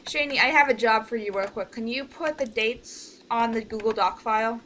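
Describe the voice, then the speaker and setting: A woman's voice: on the high side, normal speed, fairly bright tone, slight background noise. A woman leading a casual online group meeting, talking informally through instructions step by step. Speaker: organizer leading a voice project meeting.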